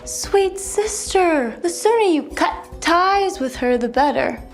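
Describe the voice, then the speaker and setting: A woman's voice: very high-pitched, animated, slow, heavily acted. An actress playing a spoiled, spiteful young noblewoman in an audio drama, taunting with mock sweetness. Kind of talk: dramatised audio series acting. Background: music.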